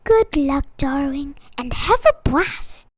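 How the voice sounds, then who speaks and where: A woman's voice: sits high, animated, slow pace, no room sound, clean studio sound, voice pushed up. A woman voicing a kind, encouraging member of a witch family in a children's storybook.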